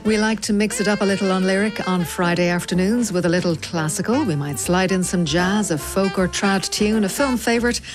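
A woman's voice: mid-range voice, a little fast, hardly any pauses, over music. Polished woman station announcer. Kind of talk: scripted promo.

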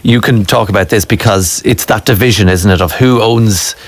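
A man, an interviewer asking questions in a relaxed, conversational way. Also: on the low side, normal speed. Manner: prompting, conversational. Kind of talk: broadcast interview.

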